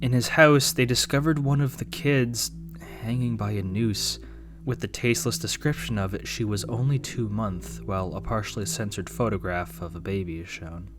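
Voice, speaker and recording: A man's voice: lowish voice, steady tone, normal speed, noisy background. A young man narrating a scripted commentary video with wry, sarcastic asides, over faint background music. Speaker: YouTube commentator.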